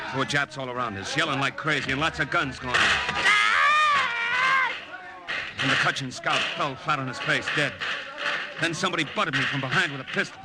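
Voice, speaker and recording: An actor playing a tough, wry young American agent, narrating and speaking in colloquial old-time radio drama style with an American accent. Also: male voice, medium pitch, heavy background noise, close to an everyday voice. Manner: hard-boiled, colloquial storytelling. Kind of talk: 1940s-50s radio drama narration and dialogue. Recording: old-time radio recording with prominent music.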